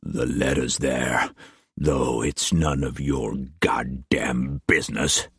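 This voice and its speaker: A man's voice: very deep voice, steady tone, slow, a bit bright, dry recording, studio quality, pitched below the performer's own voice, full character voice. A man doing a stern ailing old uncle. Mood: stern, harsh. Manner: gruff, commanding. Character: sick, stern, domineering older uncle.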